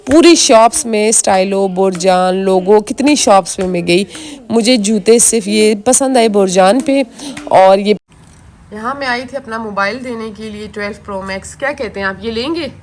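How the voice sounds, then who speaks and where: A woman in her thirties from Pakistan with a hoarse throat, chatting straight to her viewers in a casual vlog. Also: mid-range voice, normal speed, darkish tone, a little hiss or hum. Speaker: vlogger.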